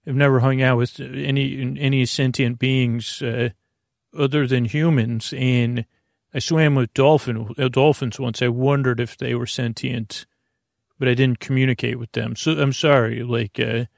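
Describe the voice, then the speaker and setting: A male podcast host with a gravelly, creaky voice in a mid-range pitch. He improvises a wandering monologue, halting and restarting as he drifts off on tangents. The sound is very dry, with no room echo. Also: flat delivery. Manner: meandering, halting, self-interrupting.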